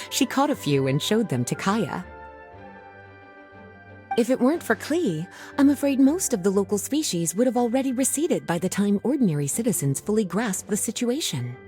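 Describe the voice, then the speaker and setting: An actress plays a poised adult woman librarian in a video game. Her mellow, velvety voice moves in lively curves, indulgent and gently teasing, with soft music behind. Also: mid-range voice, close to an everyday voice.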